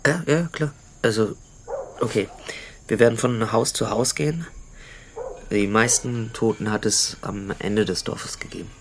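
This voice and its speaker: A halting, nervous aid worker, noisy surroundings. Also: male voice, fairly low pitch, creaky. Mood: matter-of-fact. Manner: halting, stammering, repeats himself. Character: nervous, agitated aid worker in an epidemic village. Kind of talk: naturalistic radio drama acting.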